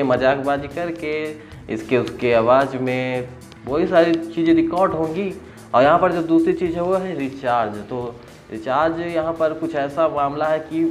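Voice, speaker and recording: A man casually explaining an app to his viewers, conversational and often rephrasing his points. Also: mid-range voice. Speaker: host of a tech app review channel.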